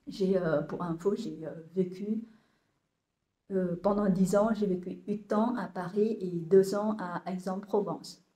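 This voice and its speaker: A woman in her thirties with a Vietnamese accent talks slowly and haltingly, stopping often to restart her sentences. Her voice is mellow and soft-edged, and the room around her sounds echoey. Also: mid-range voice, fairly even intonation. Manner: hesitant, many fillers and restarts. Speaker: compost business owner. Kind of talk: casual talk to camera, halting.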